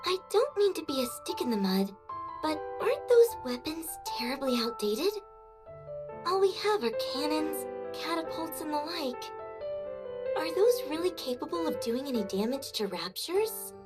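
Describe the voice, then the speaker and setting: A woman voicing a reserved, practical young girl engineer in a video game, measured and a little hesitant. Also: sits high, sing-song. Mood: cautiously skeptical. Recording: music behind the voice.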